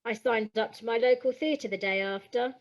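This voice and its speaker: An actress playing an earnest, wounded young stage hopeful, speaking plainly and from the heart in an audio play. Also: female voice, fairly high pitch, normal speed, natural voice. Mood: heartfelt.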